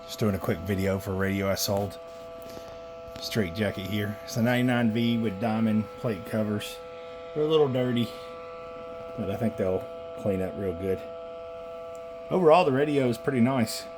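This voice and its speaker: A man with a fairly low voice talks through a radio demonstration in a casual, folksy way. He drops informal asides as he goes. The recording is clearly noisy, with radio hiss behind the voice. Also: normal speed, pauses often. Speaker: radio seller demonstrating equipment. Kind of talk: casual product demo vlog. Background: CB radio noise.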